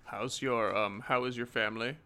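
A man playing a veteran male actor hosting a comedy chat, dry and deadpan. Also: mid-range voice, normal speed, clear, resonant tone. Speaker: host.

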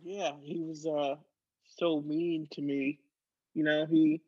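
A woman acts out a crazy former prostitute living in an asylum, casually crude. The voice sits low-key in improvised comedy, and the recording is slightly dull and lo-fi. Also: level tone, slow pace, darkish tone, husky, heavily acted. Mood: sulky, hurt.

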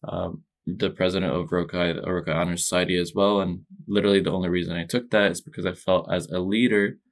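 A young man from Egypt answers interview questions in a calm, modest way. His voice is very low and flat, with a gravelly texture. He talks in a steady, measured pace. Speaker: podcast guest.

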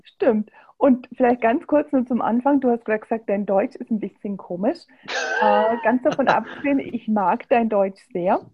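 A woman's voice: on the high side, moderate pace, narrow, tinny audio, background noise. A woman interviewing a guest in a casual talk, appreciative and enthusiastic, with some fillers.

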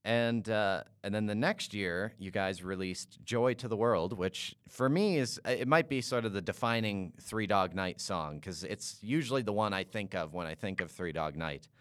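A man from Canada hosting an interview and asking long, halting questions full of "uh"s and restarts. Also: lowish voice, fairly bright tone, dry recording, clean studio sound. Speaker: podcast host.

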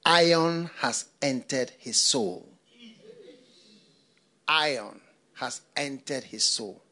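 A man's voice: medium pitch, expressive, takes it slowly, sharp tone. An older man from Ghana, a pastor, preaching a sermon with repeated phrases and rhetorical questions.

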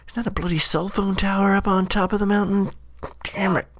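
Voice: mid-range voice, flat delivery, brisk, no echo, pitched above the performer's own voice, lightly acted. A performer voicing a scrappy, slangy adventurer who mutters and curses through a jittery diary monologue. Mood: rattled, frustrated. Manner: slangy, cursing, self-interrupting monologue. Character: scrappy slangy jittery adventurer Skeen recounting a bad day. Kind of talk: in-character audio diary monologue.

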